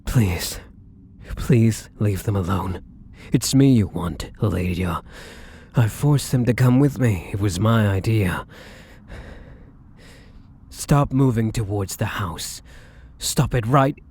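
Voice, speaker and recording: A man playing a defiant, protective vampire in an ASMR roleplay, with husky, breathy delivery and theatrical, formal phrasing. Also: fairly low pitch, animated, takes it slowly, long pauses, darkish tone, a little hiss or hum. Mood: desperate pleading. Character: defiant, protective young male vampire, bitter and menacing. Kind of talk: ASMR audio roleplay acting.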